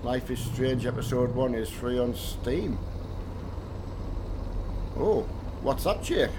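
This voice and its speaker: A middle-aged man with a slightly croaky voice, chatting casually with viewers of his live stream while he plays. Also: medium pitch, lively intonation, slow pace, background noise. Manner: chatty, friendly, answers chat viewers one by one. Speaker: streamer greeting chat.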